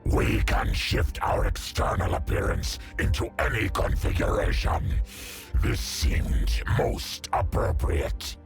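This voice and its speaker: An actor voicing a grim, monstrous alien symbiote in a video game, growling in a grave, deliberate way. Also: male voice, fairly low pitch, sing-song, gravelly, faint music behind, heavily acted. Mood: grim, dry.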